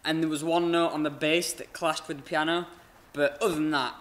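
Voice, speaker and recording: A man's voice: a little high, flat delivery, fairly quick, a bit bright. A man co-hosting a music review, casual and agreeable. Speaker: co-host reviewer.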